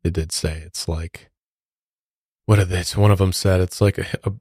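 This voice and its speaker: A man with a deep voice hosts a true crime podcast. He narrates in a conversational style, as if talking a case through. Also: steady tone, moderate pace, a little mellow, dry recording, studio quality. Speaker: podcast host.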